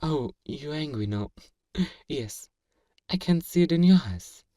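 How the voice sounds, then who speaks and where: A man playing a feared fantasy villain in a flirtatious audio roleplay, measured, amused and condescendingly courteous, in an intimate ASMR acting style. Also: fairly high pitch, animated, warm tone, studio quality. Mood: amused, obsessive. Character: feared male fantasy villain, suave, teasing, flirtatiously obsessive. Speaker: voice actor performing a roleplay. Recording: roomy echo, distant from the mic.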